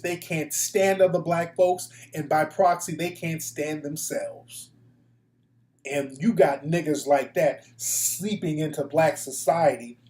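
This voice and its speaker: A man's voice: on the high side, dark tone. An American man hosting a casual, opinionated live talk show, speaking bluntly with slang and profanity. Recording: echoey room.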